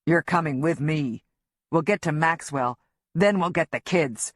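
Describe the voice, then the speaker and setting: A woman's voice: low-pitched, unhurried, no echo, studio quality. A woman reading an audiobook and voicing a desperate, violent man on the run who snarls threats. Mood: insistent. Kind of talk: audiobook narration.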